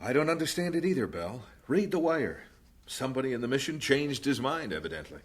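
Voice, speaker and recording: An actor playing a patient, firm and devout missionary husband in a radio drama, speaking in a measured, earnest way. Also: male voice, medium pitch, very animated, husky. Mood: resigned.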